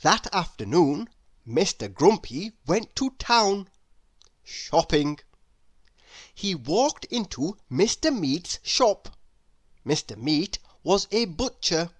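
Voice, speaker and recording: A man reading a children's story aloud with dramatic, deliberate, expressive narration. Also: high voice, dry recording. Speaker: storybook reader.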